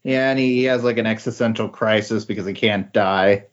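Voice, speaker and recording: A man's voice: fairly low pitch, steady tone, a little fast. Dull-sounding man in casual podcast chat. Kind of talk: casual comic book chat. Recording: dull, lo-fi audio.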